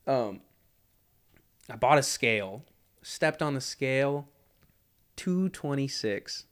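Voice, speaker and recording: A man in his twenties in casual podcast chat, animated and self-mocking, swearing and saying "dude" a lot. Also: medium pitch, slow, clear, resonant tone. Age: 20s.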